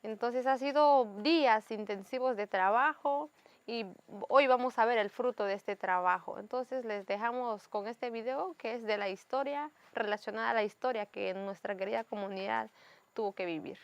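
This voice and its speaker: A woman's voice: on the high side, normal speed. A woman presenting a community TV program, welcoming and slightly stumbling. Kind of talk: live community TV hosting.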